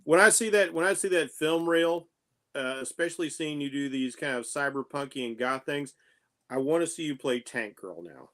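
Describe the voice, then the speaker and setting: A man's voice: fairly high pitch, animated, moderate pace, fairly bright tone. A man co-hosting an interview podcast, asking friendly, rambling questions. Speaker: podcast co-host. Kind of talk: casual interview chat.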